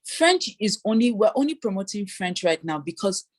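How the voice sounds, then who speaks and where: A woman's voice: mid-range voice, sing-song, moderate pace. A woman immigration consultant giving advice in an interview, explaining step by step and acting out what applicants say. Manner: explanatory, voices hypothetical applicants.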